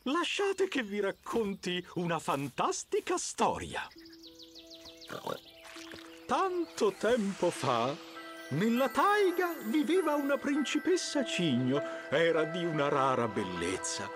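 An actor voicing a sentimental, theatrical bird who tells fairy tales in a cartoon with drawn-out, emphatic delivery. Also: male voice, high-pitched, huge pitch swings, music in the background, full character voice. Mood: lyrical, dramatic. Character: theatrical, sentimental wading bird storyteller with grand airs. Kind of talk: dramatic fairy-tale storytelling for a cartoon.

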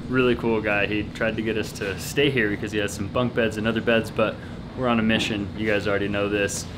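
A man's voice: lowish voice, little pitch movement, a little fast, noisy background. A young man chatting upbeat to a handheld camera on a jungle trip. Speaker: vlogger. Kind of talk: casual travel vlog chat. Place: outdoors in a rainy jungle and inside a tent. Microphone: handheld camera at arm's length.

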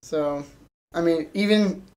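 A woman with a distinctly low voice chats on a podcast. She gives her views informally, as a co-host among fellow fans. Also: slow, warm tone, low-quality recording. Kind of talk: casual podcast chat.

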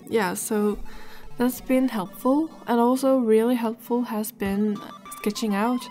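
A woman's voice: medium pitch, a little mellow. A woman giving a casual, rambling voice-over about her drawing, speaking hesitantly as an illustrator hosting her own video.

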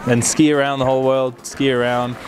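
A man's voice: medium pitch, flat delivery, fairly quick, noisy background. A man in his twenties from Australia, a ski instructor, talking casually about his working life in an interview. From grew up in Australia. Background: music.

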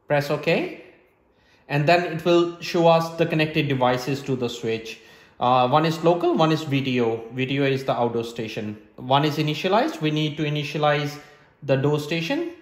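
A man's voice: a little high, animated, normal speed. A man in his thirties giving step-by-step instructional narration in a plain, methodical way, in short statements. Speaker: presenter of a tech setup tutorial. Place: small hard-floored room indoors. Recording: roomy, echoing indoor sound.

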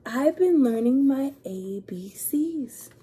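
Woman as an insistent storybook animal, high-pitched. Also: expressive, takes it slowly, dark tone, husky, heavily acted. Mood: indignant denial. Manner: insistent, emphatic, sing-song. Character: accused storybook animals protesting their innocence in rhyme.

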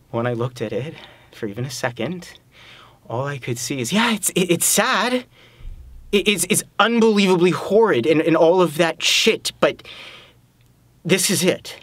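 A man acting a dramatic monologue as a desperate, self-loathing adulterer nearing middle age, halting and repeating himself, swearing and pleading. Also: mid-range voice, fairly bright tone, no room sound. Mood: uneasy, earnest, then urgent. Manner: halting, repetitive, swearing, emphatic.

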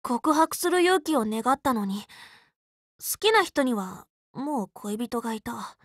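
A woman's voice: a little high, expressive, a little slow, no echo, clean studio sound. A woman playing an upbeat, loyal, tomboyish teenage magical girl in an anime game, speaking in a casual, frank, boyish way. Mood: wry. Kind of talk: anime game character voice lines.

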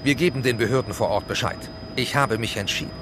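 An actor playing a decisive police team chief in an audio play, terse and authoritative. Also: male voice, fast talker, close to an everyday voice. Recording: rumbling vehicle noise behind.

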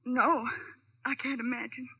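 Young showgirl, high voice, naive, anxious, girlish, old radio drama, muffled recording. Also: flat delivery, a little slow, slightly rough, full character voice. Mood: worried, puzzled. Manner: girlish, gee-whiz phrasing. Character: shaken, naive young nightclub performer, a twin sister.

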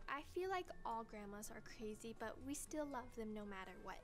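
A woman's voice: fairly high pitch, expressive, fairly quick, over loud music. A young woman performing in a scripted comedy sketch, with playful, dramatic complaining. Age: young adult.

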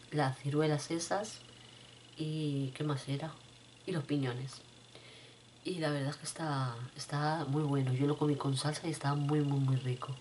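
A middle-aged woman talks to her webcam at home in a low voice. She has a cold and her throat is rough. Her chat is loose and repetitive, with a faint hum of room noise behind her. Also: warm tone. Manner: chatty, repeats herself, addresses viewers fondly. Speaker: vlogger. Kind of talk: casual chat to viewers. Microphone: webcam at desk.

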